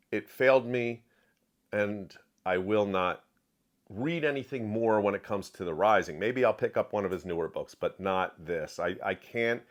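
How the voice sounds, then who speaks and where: A man giving an opinionated book review, speaking emphatically and repeating phrases for stress. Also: fairly low pitch.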